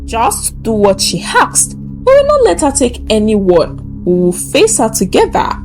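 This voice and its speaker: A woman voicing a calm, firm, protective boarding-school house mistress giving urgent orders in a told story. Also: fairly high pitch, unhurried, faint music behind, exaggerated voice, low-quality recording.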